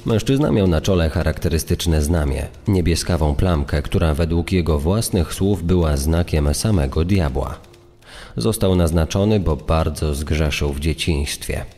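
Man, dark mellow tone, long reverb, scripted true-crime narration. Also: a bass voice, unhurried, faint music behind.

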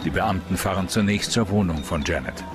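A man reading scripted true-crime documentary narration as the narrator, in a measured, suspenseful way. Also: sits low, over music.

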